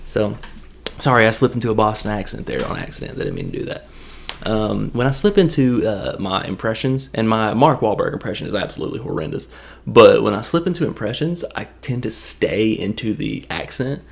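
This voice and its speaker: A man with a Southern Tennessee drawl talks through a film in a casual, wandering way. His voice sits a little low and has a gravelly, fry-edged texture. He keeps the tone light and joking. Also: lively intonation. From Tennessee, USA. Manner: loose, jokey, drifts into quick impressions. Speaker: movie reviewer. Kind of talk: casual rambling movie recap.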